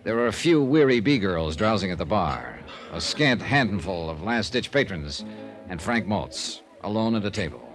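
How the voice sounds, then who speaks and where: An actor playing a terse, businesslike detective who narrates in a clipped, dry manner in a 1950s radio drama. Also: male voice, on the low side, expressive, normal speed, faint music behind, lightly acted. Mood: narrating.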